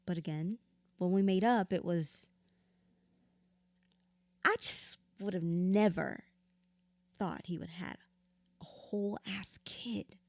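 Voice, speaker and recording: Bitter hurt widow in a therapy audio drama, raw n sweary, emotions swinging, very dry sound. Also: female voice, fairly low pitch, slow pace, pauses often, no room sound. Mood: subdued, frustrated. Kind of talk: audio drama acting, confessional dialogue.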